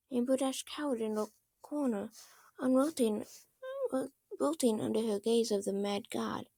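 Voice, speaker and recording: A woman narrating a story as an amateur reader, halting and often stumbling over words. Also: fairly high pitch, vocal fry, warm tone, no echo. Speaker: fan fiction reader.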